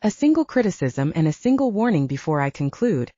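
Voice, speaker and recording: A woman's voice: fairly low pitch, big pitch swings, fairly quick, warm tone, dry recording. A woman voicing a grandiose, effusive male scientist and gourmet in an audiobook, with a pompous, oratorical manner. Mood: pompous, enthusiastic. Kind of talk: audiobook character voice. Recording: dull, muffled dry audio.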